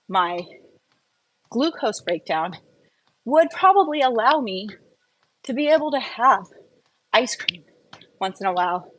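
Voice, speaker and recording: A woman's voice: medium pitch, a bit bright, slight background noise, rough recording. A woman chatting casually and candidly in a personal vlog, opinionated and prone to rambling off on tangents. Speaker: wellness vlogger.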